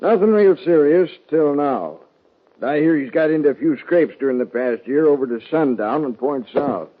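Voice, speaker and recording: Folksy sheriff on tinny radio. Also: male voice, on the high side, animated. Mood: polite, businesslike. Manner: folksy drawled phrasing, deliberate. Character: folksy, suspicious, plain-spoken male western sheriff. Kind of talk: 1950s western radio drama acting. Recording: thin, narrow-band old radio recording.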